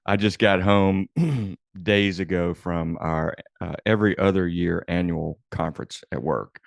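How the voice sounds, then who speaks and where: A man's voice: low-pitched, a little slow, creaky, dry recording, clean studio sound. A man co-hosting a casual podcast, reading an ad spot and setting up questions in a playful way. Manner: brief backchannel, playful setups. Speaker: podcast co-host. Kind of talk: casual chat and read ad spot.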